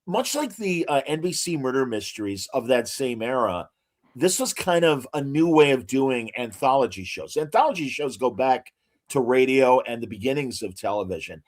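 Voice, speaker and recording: A man's voice: mid-range voice, big pitch swings. Man hosting with showman asides. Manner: reads out actor credits with frequent "uh" pauses and showman asides. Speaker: podcast co-host. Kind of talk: casual podcast chat.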